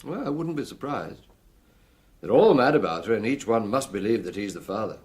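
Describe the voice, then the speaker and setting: Seasoned middle-aged British police chief: husky, expressive delivery, gruff and methodical, radio drama. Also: male voice, on the low side, brisk. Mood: matter-of-fact.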